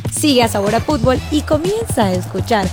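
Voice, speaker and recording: A woman's voice: on the high side, very animated, fairly quick. A woman welcoming listeners in a promo for a sports podcast. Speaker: podcast host. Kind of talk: scripted promo read. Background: music.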